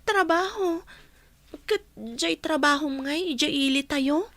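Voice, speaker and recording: Very high-pitched Filipino little sister. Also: female voice, takes it slowly, fairly bright tone, no room sound, heavily acted. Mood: wary, surprised. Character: younger sister, a student, guilty and whiny. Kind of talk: Ilocano radio drama acting.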